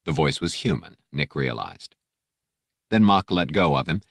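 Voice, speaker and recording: A man narrates an adventure audiobook in an extremely low voice. The reading is scripted storytelling, captured close with a dry sound. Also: animated, moderate pace, gravelly, no echo.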